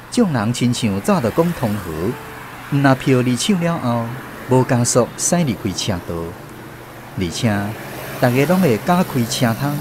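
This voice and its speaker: A man's voice: mid-range voice, background noise. A man with a Taiwanese accent narrating a scripted literary radio drama.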